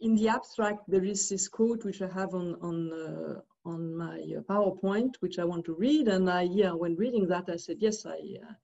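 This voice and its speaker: Hesitant Swiss woman with a mellow voice. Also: on the low side, normal speed, creaky, few pauses, lo-fi sound. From Switzerland. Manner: hesitant, many fillers and restarts. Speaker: discussant. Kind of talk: academic commentary on a video call. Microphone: video call.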